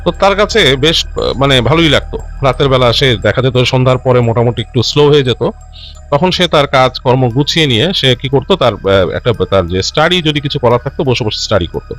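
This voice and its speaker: A man hosting and telling a listener's ghost story in an unscripted, conversational way, halting and rephrasing as he goes and mixing in English words. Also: mid-range voice, a bit bright. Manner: halting, self-correcting, repeats and rephrases phrases as he goes; mixes English words into his speech. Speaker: host narrating listener-submitted ghost stories.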